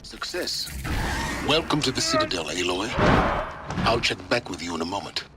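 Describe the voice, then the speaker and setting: An actor playing a cryptic, aloof guide in a video game, cool and knowing in manner. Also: male voice, lowish voice, big pitch swings, moderate pace, very noisy. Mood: cool, satisfied. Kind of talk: cinematic video game acting. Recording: loud music over the voice.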